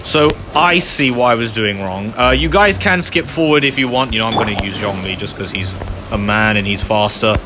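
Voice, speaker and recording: A man's voice: lowish voice, normal speed. A man giving casual, self-deprecating and apologetic commentary while playing a video game. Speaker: gamer narrating a playthrough. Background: loud video game music.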